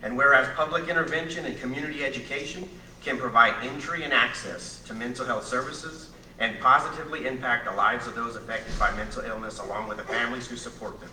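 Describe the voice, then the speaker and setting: Presenter, male, loud and projecting, bright tense tone, read from a distance in a roomy courtroom. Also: medium pitch, steady tone, fast talker, slight background noise. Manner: loud projecting voice, speaking without a microphone. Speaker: community presenter reading a proclamation. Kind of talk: formal proclamation reading. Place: county courtroom. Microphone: room microphone from across the room. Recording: echoey courtroom picked up from afar.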